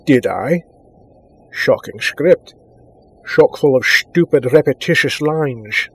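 An actor playing a dim, lecherous, pompous secret agent in a spy spoof, with a suave drawl. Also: male voice, mid-range voice, expressive, takes it slowly, breathy edge, heavily acted. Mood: dim, sheepish.

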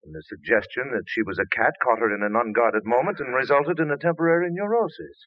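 An actor playing a calm, reassuring doctor in an old radio drama. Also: male voice, mid-range voice, quick pace, no echo, close to an everyday voice. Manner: steadying, reassuring. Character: calm family doctor and friend. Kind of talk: 1940s radio acting. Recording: tinny old radio recording.

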